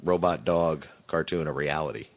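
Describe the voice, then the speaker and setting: A man's voice: extremely low pitch, moderate pace, vocal fry. A man co-hosting a show in a casual, conversational style. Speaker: show co-host. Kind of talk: casual chat. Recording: dry, narrow lo-fi band.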